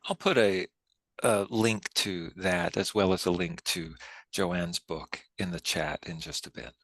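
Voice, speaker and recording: A man hosting and moderating a literary event, giving a prepared introduction in a formal, measured way. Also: deep voice, steady tone, a bit bright, no room sound. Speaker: host and moderator of a poetry reading. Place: online video event.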